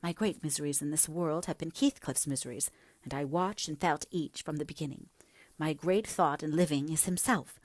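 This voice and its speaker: A woman voicing a passionate, headstrong young gentlewoman in a dramatic, emphatic audiobook reading, breathy at times. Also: sits low, animated, normal speed, no echo. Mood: impassioned.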